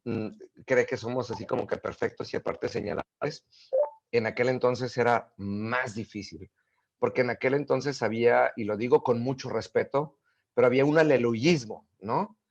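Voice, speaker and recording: A middle-aged man speaking as a guest in a relaxed conversation. His voice is gravelly and slightly husky. He tells stories with lively energy and often stops to restart a phrase. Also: mid-range voice, normal speed, lo-fi sound. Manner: animated storyteller, many fillers and restarts. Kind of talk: casual interview conversation.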